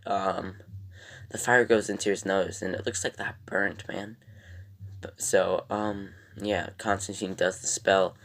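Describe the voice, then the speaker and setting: A man with a raspy voice casually recapping a TV episode as a reviewer, in a rambling, halting way. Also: low voice, a bit bright.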